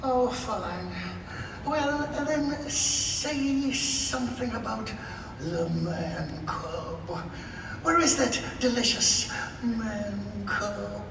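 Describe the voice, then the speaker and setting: A man's voice: high-pitched, animated, drawn-out words, gravelly, full character voice. A man voicing a hungry, petulant cartoon snake, languid and wheedling, live on stage. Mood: sly, petulant. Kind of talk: live cartoon character performance. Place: large convention hall stage. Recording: echoey hall from far off, crowd noise.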